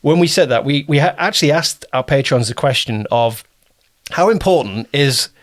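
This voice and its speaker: A man with a mid-pitched voice hosts a conversational interview. He talks in a relaxed, earnest way and readily pays compliments to his guest. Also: moderate pace. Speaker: producer and interviewer.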